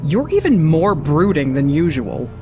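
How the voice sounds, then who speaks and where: Confident bat woman acted by a woman over loud music. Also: sits low, big pitch swings, measured pace. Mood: concerned. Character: Rouge, confident female bat agent, concerned and teasing. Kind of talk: comic dub voice acting.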